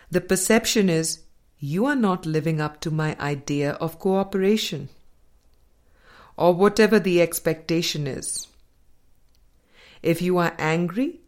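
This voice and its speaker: A woman narrates a spiritual self-help book as a scripted reading. Her voice sits a little low for a woman. She takes her time, moving very slowly and deliberately through each sentence. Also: a little mellow. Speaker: audiobook reader.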